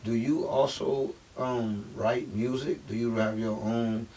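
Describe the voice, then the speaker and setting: A man's voice: medium pitch, fairly even intonation, slow pace, darkish tone. A man hosting a podcast, chatting casually and encouraging his guests. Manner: encouraging, with lots of agreeing interjections. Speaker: podcast host. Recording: distant mic with faint hiss.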